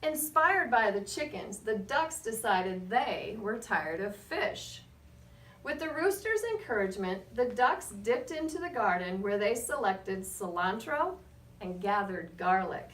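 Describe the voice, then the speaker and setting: A woman reading a picture book aloud to children as a guest storyteller, with expressive, measured delivery and short asides to her listeners. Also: medium pitch. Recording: roomy, distant mic, faint background noise.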